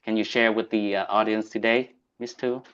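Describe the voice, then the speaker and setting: A man with a Vietnamese accent hosting and introducing a speaker in deliberate, halting, non-native English. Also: lowish voice, steady tone, fairly bright tone, smooth, dull audio. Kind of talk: event introduction on a video meeting.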